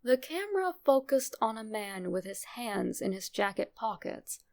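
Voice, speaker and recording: A woman with a creaky voice reading a scripted first-person horror story as a narrator. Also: a little high, very animated, moderate pace, a little mellow.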